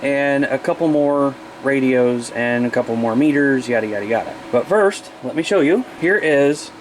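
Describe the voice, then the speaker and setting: A man's voice: medium pitch, normal speed, slight background noise, rough recording. A man chatting in a folksy, informal way as he casually shows off his hobby gear. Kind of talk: casual show-and-tell vlog.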